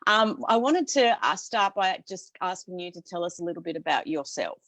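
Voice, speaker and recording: A woman's voice: lowish voice, animated, normal speed, a bit bright, dry recording. A woman hosting a casual live-streamed video interview, upbeat and friendly as she welcomes her guest, with a few fillers. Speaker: host and interviewer. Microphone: live-streamed video call.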